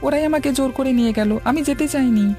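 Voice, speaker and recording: A woman's voice: high voice, fast talker, warm tone, faint music behind, lightly acted. A woman voicing a whiny, mischievous little village boy in a cartoon. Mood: defensive. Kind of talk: cartoon voice acting.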